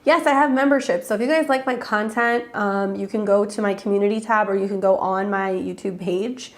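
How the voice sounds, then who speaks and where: A woman's voice: mid-range voice, a little fast. A woman with a head cold and a congested voice, chatting casually as an astrologer hosting live readings and greeting viewers by name. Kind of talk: casual livestream chat.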